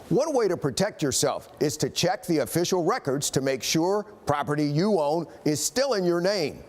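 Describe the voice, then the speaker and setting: A man's voice: fairly high pitch, darkish tone. An American man delivering scripted investigative news narration as a television reporter.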